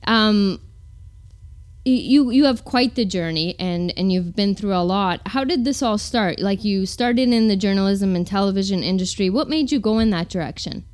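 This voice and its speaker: A woman's voice: mid-range voice, moderate pace. A woman hosting a conversational interview, asking questions and responding to her guest. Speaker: interviewer, host.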